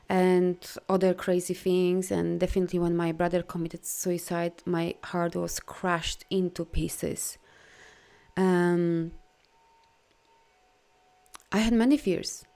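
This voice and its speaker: A woman's voice: lowish voice, dark tone. A middle-aged woman with a Polish accent, talking earnestly as a life coach in a live video. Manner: earnest, heartfelt, halting non-native phrasing.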